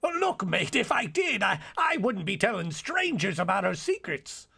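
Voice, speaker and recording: A woman's voice: medium pitch, sing-song, normal speed, creaky, pushed and bright, slightly rough, full character voice. A woman playing a jumpy, evasive informant in an old-time radio detective play, stammering and hesitant. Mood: evasive, flustered.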